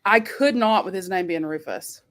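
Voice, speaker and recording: A woman's voice: fairly low pitch, moderate pace, a bit bright. Flippant woman in casual online chat. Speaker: co-host of a book readalong live show. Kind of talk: casual chat, book discussion.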